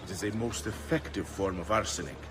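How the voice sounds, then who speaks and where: An actor playing an eager, confident Venetian schemer in a video game, smug and obliging. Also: male voice, low voice, fairly quick, noisy background, lightly acted. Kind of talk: video game cutscene acting. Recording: music under the voice.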